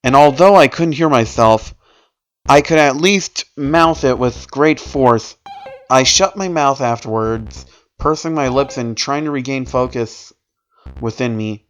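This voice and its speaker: A man's voice: mid-range voice, a little slow, low-quality recording. Man reading a story aloud, theatrical delivery. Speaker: narrator reading horror fan fiction aloud. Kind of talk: dramatic story reading with amused asides.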